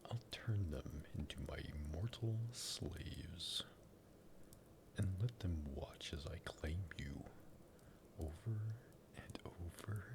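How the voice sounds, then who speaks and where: A man playing a sadistic, possessive vampire master in an ASMR roleplay, in a breathy, gravelly whisper with a teasing, condescending drawl. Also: low voice, expressive, slow pace, noisy background, exaggerated voice. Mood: menacing. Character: sadistic vampire master, possessive and menacing, toying with captive lover.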